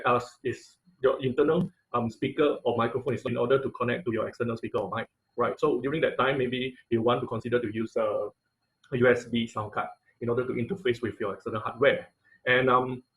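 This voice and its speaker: A man teaching an online class in an informal lecture style, with halting, hesitant phrasing and repeated words, speaking over a video call. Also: mid-range voice, fairly bright tone, poor audio. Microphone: computer mic on a video call.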